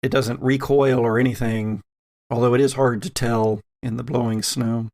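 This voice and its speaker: A man narrating a tabletop horror game as game master, with deliberate, dramatic phrasing and pauses. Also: medium pitch, little pitch movement, darkish tone.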